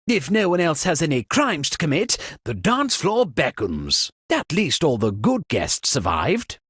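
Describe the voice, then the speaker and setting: A man's voice: fairly high pitch, a bit bright, husky, no room sound, clean studio sound, heavily acted. A man voicing a fussy, exasperated upper-class British party host in a comic video game, with a pompous, theatrical and sardonic delivery. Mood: wry, resigned.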